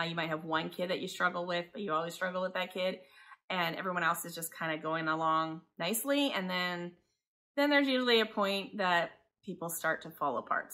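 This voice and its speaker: A woman's voice: lowish voice, bright, forceful tone. A woman in her forties from Taiwan, a homeschooling mother talking in a casual, rambling, conversational vlog style. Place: living room by a window, indoors.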